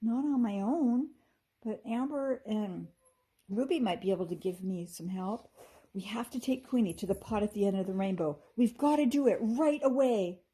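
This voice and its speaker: A woman's voice: medium pitch, normal speed, dark tone, slightly rough, close to an everyday voice, low-quality recording. A woman voicing a sweet, caring little fairy girl in a storybook, with earnest expression. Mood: worried.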